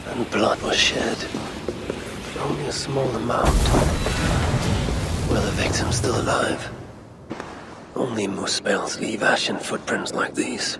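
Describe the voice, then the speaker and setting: A grim Norse god warrior for a video game. His voice is gravelly with a breathy edge, and he speaks slowly and deliberately, brooding yet commanding. Heavy ambient noise sits behind him. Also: fairly low pitch. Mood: grim, pondering. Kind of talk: dramatic game voice acting, brooding narration.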